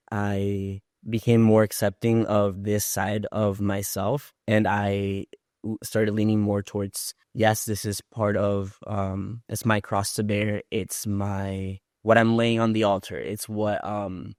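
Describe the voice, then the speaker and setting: A man from Puerto Rico speaks in a slightly low voice with little rise and fall. He tells personal stories conversationally as a podcast guest, reflecting and rewording as he goes. The recording is dry. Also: level tone, a little slow, darkish tone, no echo.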